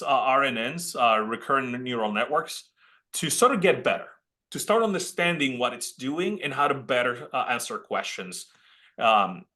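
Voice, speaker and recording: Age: 30s. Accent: Puerto Rican. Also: male voice, medium pitch, sharp tone. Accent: Puerto Rican Spanish. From Puerto Rico. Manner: chatty, many restarts and "uh" fillers. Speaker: college dean presenting a webinar. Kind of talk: casual presentation talk. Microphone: computer mic on a video call.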